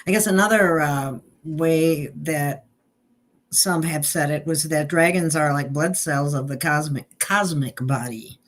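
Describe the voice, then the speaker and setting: A woman's voice: low voice, measured pace. A woman hosting a spiritual talk show, speaking earnestly and drawing out her guest.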